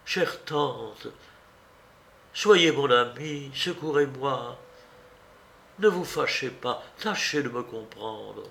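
Man voicing a meek young woman, slow and creaky. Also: mid-range voice, lively intonation, fairly bright tone, full character voice. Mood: pleading. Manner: faltering, subdued. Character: meek, sorrowful young woman, gentle and pleading. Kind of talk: dramatised audiobook reading.

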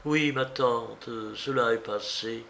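A meek, sorrowful young woman, as a male reader puts her on, speaking slowly with some gravel in the voice. She is gentle, subdued and pleading, a storybook niece who falters as she speaks. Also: medium pitch, expressive, slight background noise, heavily acted. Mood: subdued, insistent. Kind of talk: dramatised audiobook reading.

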